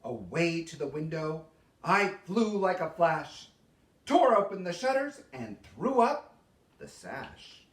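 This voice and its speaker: A man giving a theatrical poetry recitation as a storyteller, with dramatic, expressive delivery and deliberate pauses. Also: fairly high pitch. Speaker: storyteller reading a Christmas poem. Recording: roomy echo, distant microphone.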